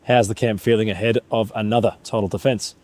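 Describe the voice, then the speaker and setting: A man's voice: lowish voice, fairly even intonation, fairly quick, a little mellow. Polished sports interviewer, man. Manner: formal, polished presenter phrasing.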